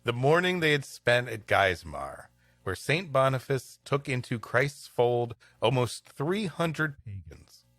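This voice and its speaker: A man's voice: mid-range voice, big pitch swings, slow pace, fairly bright tone, dry recording. A man co-hosting a comedy podcast, moving between reading an old-fashioned story aloud and light banter. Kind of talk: scripted story reading mixed with casual banter.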